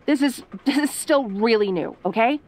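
A woman's voice: on the high side, animated, normal speed, darkish tone, natural voice. A woman playing a sassy tsundere tomboy daughter in an audio roleplay, talking in a casual, defensive, sarcastic way. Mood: embarrassed, sassy. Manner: casual, defensive, sarcastic, hesitant when sentimental.

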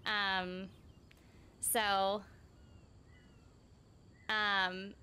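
A woman's voice: mid-range voice, flat delivery, drawn-out words, pushed and bright, a little hiss or hum. A young woman chatting casually and informally on a game livestream, with a bubbly manner, close on the mic. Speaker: game streamer and voice actress. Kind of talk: casual livestream chat over gameplay. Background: game music.

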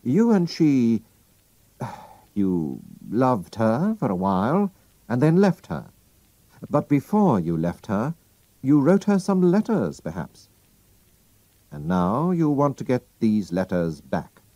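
A man voicing a calm, clever male detective who talks briskly as he reasons. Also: mid-range voice, dry recording, voice pushed up, exaggerated voice. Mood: cool, knowing. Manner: brisk, deducing, lively.

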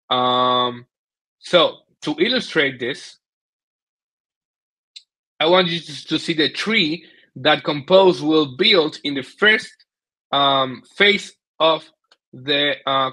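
A man in his thirties from the Dominican Republic with a Dominican Spanish accent, giving a technical presentation over a video call in a halting way, often repeating words. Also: medium pitch, pushed and bright, low-quality recording. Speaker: conference speaker, software developer. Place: small indoor room at home, video call.

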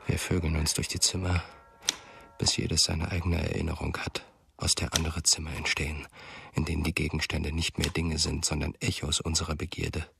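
An actor playing a brooding, haunted writer in a radio drama, gravelly and halting, speaking an introspective monologue. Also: male voice, a bass voice, completely flat delivery, fairly bright tone, dry recording, exaggerated voice. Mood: melancholy, brooding. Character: brooding male writer haunted by memories and longing.

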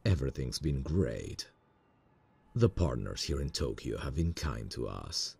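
A man acting a smitten, nervous boss in a romantic ASMR roleplay, speaking intimately and a little hesitantly with a gravelly, husky voice. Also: extremely low pitch, very animated, unhurried, darkish tone, heavily acted. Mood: nervous, musing. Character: smitten, nervous male boss on a work trip.

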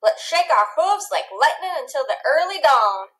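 Woman playing an eager young cowboy prince, high-pitched. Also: big pitch swings, moderate pace, heavily acted. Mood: excited. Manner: folksy dance-caller shouts.

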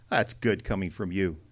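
A man's voice: low voice, fairly bright tone, heavily acted. An American man playing a wry, hard-boiled private detective in a noir radio drama, blunt and slangy.

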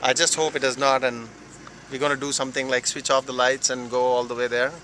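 A man's voice: medium pitch, flat delivery, rapid-fire, bright, forceful tone. A man chatting casually on a road trip, rambling with lots of fillers, recorded outdoors on a handheld camera. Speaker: traveler filming a road trip. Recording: noisy outdoor camera audio.

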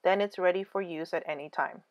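A woman giving a scripted cooking voice-over, calm clear step-by-step instructions for a home recipe. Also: on the low side, a little fast, highs cut off. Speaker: cooking channel host.

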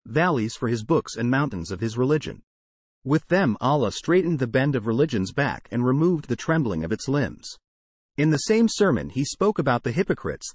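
A man giving a measured, declamatory reading of a religious sermon as narration. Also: medium pitch, no room sound. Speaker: sermon reader. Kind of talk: solemn scripted narration over slideshow.

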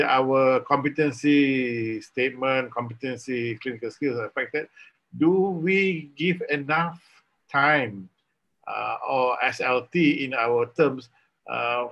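Malaysian moderator on dull call audio. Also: male voice, medium pitch, fairly bright tone. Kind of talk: moderating a webinar panel. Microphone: video call from a laptop.

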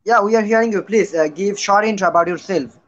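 Hesitant young Bangladeshi host, high voice. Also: male voice, fast. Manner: hesitant, many "uh"s, formal phrasing. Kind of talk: live-stream hosting. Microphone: video call. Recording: dull lo-fi call audio.

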